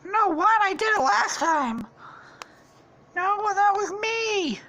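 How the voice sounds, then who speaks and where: A woman voicing small, excitable, loyal mushroom guards in a cartoonish story, exclaiming and squabbling. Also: extremely high pitch, slow pace, dull audio, a little hiss or hum, pitched above the performer's own voice, heavily acted.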